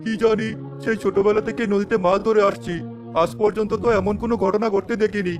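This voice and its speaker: Man playing a fast-talking village fisherman. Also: high-pitched, fairly even intonation, over music, natural voice. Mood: puzzled, worried. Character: village fisherman, easygoing, puzzled companion. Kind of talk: animated folk tale character acting.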